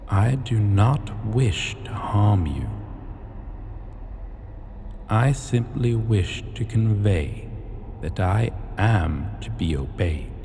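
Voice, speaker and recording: A man playing an imperious, possessive dragon king in an ASMR fantasy roleplay, seductive and menacing, with a formal, commanding and deliberate delivery. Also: fairly low pitch, animated, dark tone, exaggerated voice. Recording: long cavernous reverb, clear background noise.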